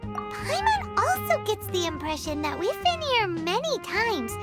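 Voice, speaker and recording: Tiny fairy sidekick: extremely high squeaky child voice, swooping and excitable, chatty game character over music. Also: female voice, a little slow, heavily acted. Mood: curious, dismayed. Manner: exclamatory, refers to herself in third person. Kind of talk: video game character acting.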